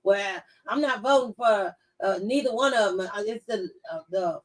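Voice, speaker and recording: A woman's voice: mid-range voice, normal speed. Slightly distant, earnest woman host. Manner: earnest, repeats phrases for emphasis. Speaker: talk show host. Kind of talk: conversational talk show discussion.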